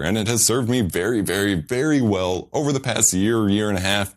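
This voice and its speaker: Deep-voiced man reviewing gear. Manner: informal, technical, enthusiastic wording. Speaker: product reviewer. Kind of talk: scripted review narration.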